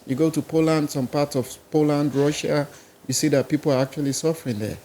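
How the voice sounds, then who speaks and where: A man's voice: a little high, fairly even intonation, a little fast, mellow, slight background noise. A man with a Nigerian accent, a musician guest in a podcast interview, talking in a rambling, casual way. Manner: rambling, casual, listing places and languages.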